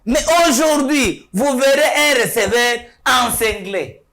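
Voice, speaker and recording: Emphatic man, lively high voice. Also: slow, creaky. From Kasai, DR Congo. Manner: emphatic, rhetorical, repeats points and asks "hein?". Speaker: studio guest. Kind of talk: political talk show discussion.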